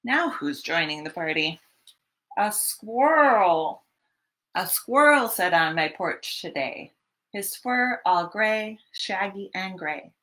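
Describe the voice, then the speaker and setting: A woman in her thirties hosting storytime, reading a picture book to young children in an expressive, teacherly way. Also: mid-range voice. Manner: expressive, deliberate teacherly delivery. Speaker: children's library storytime host. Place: small home room, indoors.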